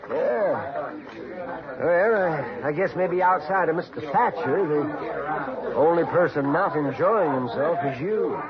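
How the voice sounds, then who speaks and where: Male character part: chattering small-town frontier doc, slightly high voice, folksy, on a dull vintage radio recording with crowd. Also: expressive, slow. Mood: chatty. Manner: folksy, fussing, repeats himself. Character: fussy, chatty, good-natured frontier doctor. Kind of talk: old-time Western radio drama acting.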